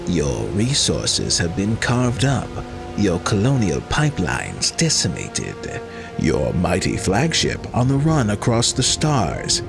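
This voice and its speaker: A man voicing a smug alien crime boss in an audio drama, formal, deliberate and menacing. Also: lowish voice, vocal fry, heavily acted. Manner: formal, refers to self in third person, deliberate. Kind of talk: audio drama villain acting.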